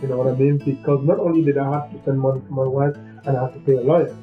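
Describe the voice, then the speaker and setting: Brief: man, interview subject, flat delivery, hesitant and plainspoken; muffled lo-fi recording with faint guitar under it. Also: medium pitch. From Cameroon. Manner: halting, leans on "you know what I mean". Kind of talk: casual personal storytelling. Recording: dull lo-fi sound with faint music.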